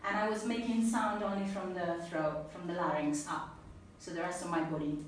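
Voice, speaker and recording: A woman with an Italian accent giving an earnest, animated personal talk to an audience, then answering questions. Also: on the low side, moderate pace. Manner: earnest, animated, laughs easily. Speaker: speaker at a talk. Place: event room with an audience. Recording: echoey room, mic at a distance, faint noise.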